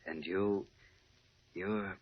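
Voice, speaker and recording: Low, slow-spoken elegant gentleman lover. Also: steady tone, slightly rough, full character voice. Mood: tender, seductive. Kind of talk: 1940s radio drama acting. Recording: dull, noisy old radio broadcast.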